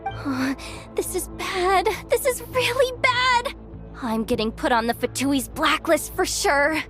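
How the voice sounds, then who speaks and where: A woman voicing a young female treasure-hunting guide in a game, flustered, stammering and full of bluster though soft-hearted underneath. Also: extremely high pitch, animated, slow, soft background music, heavily acted. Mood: panicked. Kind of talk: animated game voice acting.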